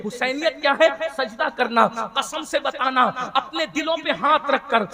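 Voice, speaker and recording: Guy preaching a fiery islamic sermon, really high voice, repeats lines to scold and urge, crowd audible behind him. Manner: rhetorical, repetitive cadences, exhorting and admonishing. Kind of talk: impassioned Urdu religious sermon to a congregation. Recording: live gathering with crowd noise. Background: congregation responding.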